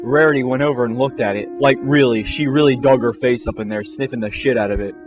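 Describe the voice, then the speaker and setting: A man's voice: medium pitch, fast. Theatrical male storyteller over music. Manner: theatrical, mock-serious. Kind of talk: comic dramatic fan-fiction narration. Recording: music behind the voice.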